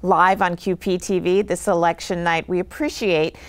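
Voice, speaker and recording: A woman's voice: fairly low pitch, fairly even intonation, moderate pace. A woman hosting a live television panel, polite and a little hesitant when asking questions. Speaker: host. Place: TV studio.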